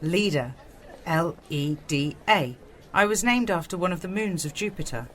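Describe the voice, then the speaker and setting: A woman playing a brisk, cool researcher from the far future in a sci-fi audio drama, clipped and matter-of-fact. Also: on the low side, expressive, fairly bright tone, a faint crowd. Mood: polite, curious.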